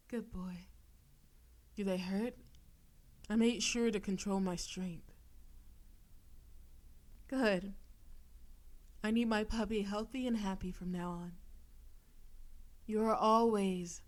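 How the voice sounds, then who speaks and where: Slow, possessive demon girlfriend, voiced by a woman. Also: mid-range voice, steady tone, lightly acted. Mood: teasing, doting. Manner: slow, teasing, softly commanding, lapsing into breathy whispers. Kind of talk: intimate audio roleplay addressed to the listener.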